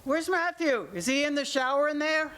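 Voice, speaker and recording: A woman's voice: high-pitched, moderate pace, fairly bright tone. A woman in a staged play reading, playing a blunt mother of grown children with dry sarcasm. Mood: sarcastic.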